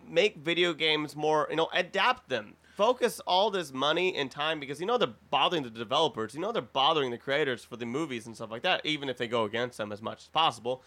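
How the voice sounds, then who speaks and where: A man with a slightly high, very bright and forward voice co-hosts a casual podcast panel. He argues his opinions freely and drops swear words as he goes. The delivery is conversational and unscripted. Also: expressive, fast, hardly any pauses. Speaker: podcast co-host.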